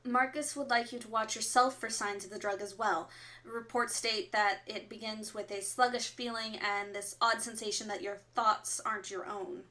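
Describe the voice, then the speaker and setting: A woman playing a composed resistance announcer who relays orders in a measured, formal way on a fictional radio broadcast in an audio drama. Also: medium pitch, steady tone, sharp tone, close to an everyday voice. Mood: official.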